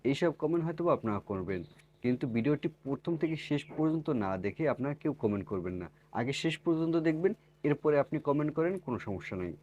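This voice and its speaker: A man's voice: on the high side, expressive, fast talker, mellow, dull audio, a little hiss or hum. A man hosting a tech tutorial, addressing viewers in an instructional, scripted way with repeated step-by-step phrasing. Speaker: tech tutorial video host.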